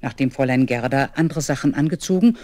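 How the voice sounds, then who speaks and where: A grown woman looks back on her childhood in a children's audio drama. Her voice is very low for a woman, and the sound is close and dry. She narrates in measured, scripted storybook prose. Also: a little mellow, dry recording. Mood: reminiscing. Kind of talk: scripted narration in a 1970s children's radio play.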